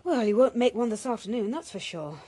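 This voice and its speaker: A woman's voice: a little high, fairly quick, a little hiss or hum, natural voice. A woman playing a fussing, easily alarmed suburban mother with a British accent in a radio horror drama, maternal and chiding. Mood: fond, chiding. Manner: maternal, expressive.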